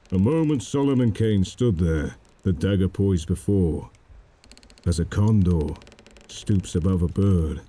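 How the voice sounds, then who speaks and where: A man narrating a dramatic poem in a solemn, measured manner. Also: sits low, warm tone, slightly rough. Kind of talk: dramatic poetry recitation.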